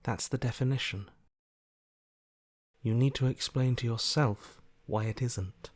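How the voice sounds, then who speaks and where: An actor playing a detached, emotionless inner voice in a video game, dryly sardonic in manner. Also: male voice, mid-range voice, unhurried, no echo, close to an everyday voice. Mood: cold, detached. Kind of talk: scripted game voice acting.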